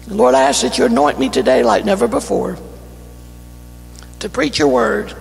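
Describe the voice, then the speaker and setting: A man with a North Carolina Southern accent, an evangelist praying and preaching a fervent sermon that builds to shouting in a church. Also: very high voice, moderate pace, vocal fry. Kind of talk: sermon with opening prayer. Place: church sanctuary. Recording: church hall reverb, faint music.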